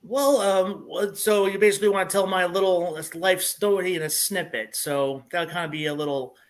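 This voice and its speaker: A man's voice: sits high, normal speed. Rambling man with a country accent. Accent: Southern US country. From Illinois. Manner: friendly, rambling, restarts a lot. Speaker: guest vlogger. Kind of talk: casual interview talk.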